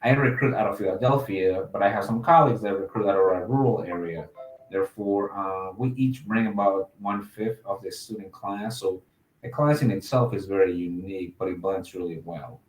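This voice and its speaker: A man's voice: deep voice, normal speed, echoey room, low-quality recording. A man from Mexico, an admissions officer, answering interview questions in a casual, upbeat way with many fillers.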